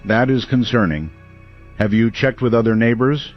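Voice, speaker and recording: A man playing a calm, measured late-night radio call-in host. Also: medium pitch, muffled recording, faint music behind, lightly acted.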